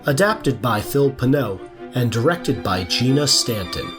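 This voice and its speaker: A man's voice: mid-range voice, animated, unhurried. A man reading a scripted programme introduction as announcer. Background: violin music.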